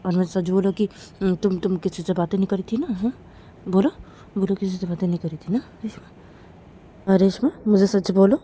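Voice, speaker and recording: Woman acting a mellow-voiced, suspicious, possessive wife. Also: medium pitch, steady tone, normal speed, slight background noise, pitched below the performer's own voice, natural voice. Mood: coaxing, suspicious. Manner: coaxing, nagging, repetitive questioning. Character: suspicious, possessive wife, loving but insecure.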